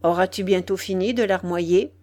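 A woman's voice: fairly low pitch, fairly even intonation, normal speed, darkish tone, natural voice. A cold, cynical lover in a storybook drama, voiced by a woman. He speaks with haughty self-assurance. His manner is curt and commanding, that of a man used to dominating. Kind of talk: dramatised audiobook dialogue.

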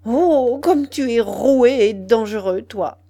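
A betrayed mistress in a storybook drama, played by a woman reader. Her voice is high and full of indignant fury. She hurls accusations with scorn and vehemence. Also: moderate pace, a little mellow, close to an everyday voice. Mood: bitter, reproachful. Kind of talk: dramatised audiobook dialogue.

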